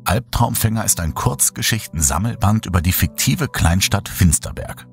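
A man reading a horror story aloud in slow, hushed, dramatic narration. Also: fairly low pitch, expressive, creaky, faint music behind. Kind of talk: scripted horror audiobook narration.

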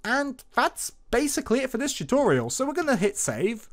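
A man's voice: extremely high pitch, sing-song, fairly quick, fairly bright tone. A man hosting an informal programming tutorial as a voice-over, playful and lightly sarcastic in manner. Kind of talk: informal screen-recorded tutorial narration. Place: voice-over for screen recording.

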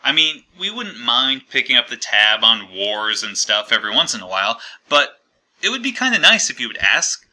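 A man's voice: medium pitch, big pitch swings, normal speed, pushed and bright, heavily acted. A man playing the American colonies as an awkward, fed-up partner leaving a breakup voicemail in a hesitant, conversational, aggrieved way. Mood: aggrieved, exasperated. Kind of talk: comedic monologue as a breakup voicemail.

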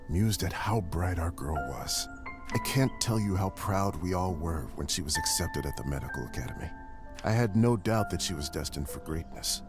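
A middle-aged man playing a proud, grieving uncle who gives a solemn, tender eulogy in a dramatic video game. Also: extremely low pitch. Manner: measured, solemn, tender oratory. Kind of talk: dramatic game voice acting, funeral speech.

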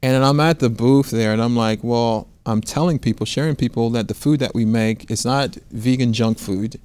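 A man's voice: mid-range voice, moderate pace, darkish tone, smooth, no room sound. Interview guest: American man, mid-range voice, earnest and conversational, rephrases often, recorded dry. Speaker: interview guest, restaurant owner. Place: quiet indoor studio set with armchairs. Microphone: lapel mic.

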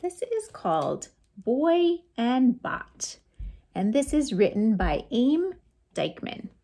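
An American woman in her forties hosting a read-aloud storytime for young children, in an animated, sing-song way with playful sound effects. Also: a little high, takes it slowly. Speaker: children's librarian, storytime host. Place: small lamp-lit room at home.

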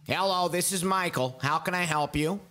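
A man voicing a brisk, polite male phone support agent. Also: high voice, reverberant, very noisy, pitched below the performer's own voice, close to an everyday voice.

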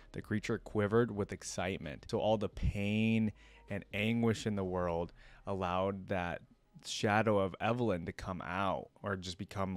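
Young guy doing reaction vids, lowish voice, chatty thinking out loud, really dry close sound. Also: no echo. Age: young adult. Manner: conversational, thinking aloud. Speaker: reaction video host. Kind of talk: casual commentary with reading aloud. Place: indoor home streaming setup.

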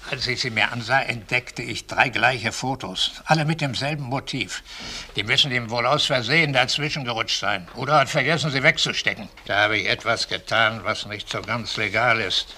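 Bright-voiced retired policeman. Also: medium pitch, normal speed, slight background noise. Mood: precise, recounting. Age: older. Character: retired male police officer, shrewd and precise. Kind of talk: German crime radio play acting.

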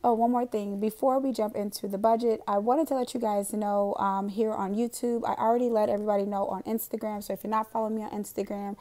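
A young woman with a raspy, hoarse voice from a cold, chatting casually in a voiceover while setting up her monthly budget planner, rambling with lots of fillers. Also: medium pitch, little pitch movement, fast, hardly any pauses, warm tone. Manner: chatty, rambling, with frequent "um" and laughs. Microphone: voiceover recorded separately from the footage.

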